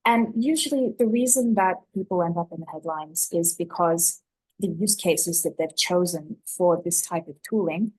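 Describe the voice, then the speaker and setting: A woman speaking as an expert panelist, explaining things off the cuff in a measured, articulate way. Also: fairly low pitch, a little mellow, husky, rough recording. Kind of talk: spontaneous explanation on a conference panel.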